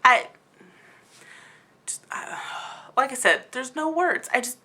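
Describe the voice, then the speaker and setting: Female book vlogger, high and forceful voice, incredulous and emphatic, casual rambling delivery. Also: lively intonation, slow. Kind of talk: casual rambling video review.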